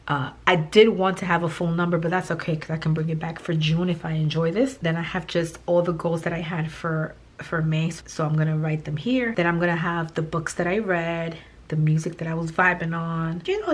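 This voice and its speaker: Woman, low voice, hoarse and raspy, casual rambling chat, lots of fillers. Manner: chatty, rambling, many fillers and restarts. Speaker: planning vlogger. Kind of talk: casual chat while planning.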